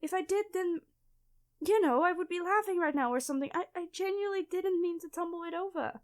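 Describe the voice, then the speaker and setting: A woman's voice: very high-pitched, brisk. A woman playing a clumsy, nerdy classmate who stammers and rambles apologetically in an ASMR roleplay. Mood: panicked, apologetic. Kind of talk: ASMR audio roleplay addressed to the listener.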